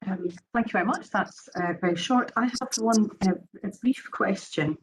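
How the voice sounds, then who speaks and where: A woman's voice: medium pitch, moderate pace, slight background noise, rough recording. A woman chairing an inquiry session, courteous and procedural as she hands over the questioning. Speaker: inquiry reporter. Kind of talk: chairing a hearing.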